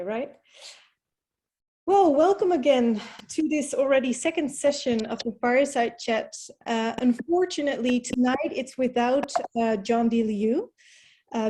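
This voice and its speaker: A woman's voice: a little high, dark tone. A woman hosting an online video call meeting, speaking plainly and unhurriedly. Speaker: host.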